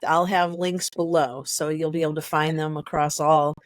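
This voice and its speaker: A woman's voice: low voice, level tone, moderate pace. A woman in her sixties interviewing guests in a friendly, affirming way, asking short questions. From Florida. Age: 60s. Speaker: podcast interviewer. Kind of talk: casual interview.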